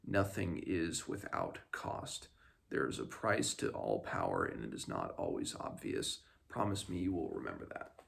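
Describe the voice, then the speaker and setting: A man reading aloud as a wise storybook mentor, solemn and measured as he cautions a pupil. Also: a bass voice, very animated, gravelly, fairly bright tone, pitched below the performer's own voice, heavily acted. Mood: solemn warning. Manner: measured, admonishing.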